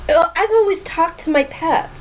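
A woman's voice: very high-pitched, moderate pace. A woman hosting a casual interview chat, talking in a chatty, jokey way. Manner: chatty, jokey, self-described sarcastic. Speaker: host and radio playwright. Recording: noisy, tinny phone-line audio.

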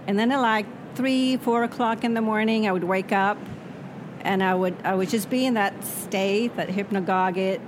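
A woman whose first language is Swedish, an author answering interview questions in a reflective way that often trails off softly. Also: medium pitch. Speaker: author guest. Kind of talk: interview conversation. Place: noisy spot near traffic. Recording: background traffic noise.

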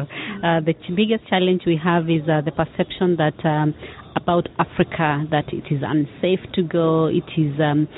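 A woman from Kenya answering interview questions for a tourism board, earnest and halting, with many fillers and repeated words. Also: on the low side, slight background noise. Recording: phone-like narrow band.

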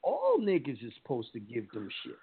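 A man's voice: medium pitch, wildly swooping pitch, moderate pace, slightly rough. A man with a New York accent hosting a talk radio show, blunt and profane in his opinions. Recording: lo-fi phone-line sound with faint music.

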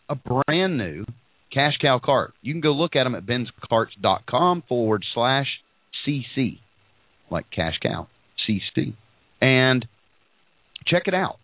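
A man's voice: medium pitch, husky, dry recording. A middle-aged man hosting a podcast, sounding congested and froggy, rambling through announcements with many ums and restarts. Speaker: podcast host. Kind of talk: casual show announcements. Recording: tinny phone-like line.

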